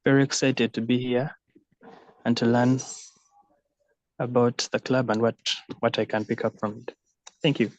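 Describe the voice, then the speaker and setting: A man's voice: medium pitch, fairly even intonation, moderate pace, long pauses, darkish tone, dry recording, dull audio. A man from Uganda introducing himself eagerly as a club member.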